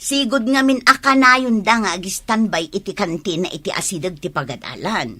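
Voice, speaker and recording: A woman's voice: mid-range voice, lively intonation, normal speed, bright, forceful tone, slightly rough. An actress with an Ilocano accent playing a nosy, needling female neighbour in a radio drama.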